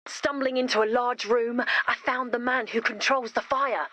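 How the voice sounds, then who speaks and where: A woman voicing a gentle, lonely monster's diary in a video game, earnest and dramatic. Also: fairly high pitch, fairly even intonation, normal speed. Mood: sorrowful, confiding. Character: gentle, lonely female monster reading her secret diary, wistful.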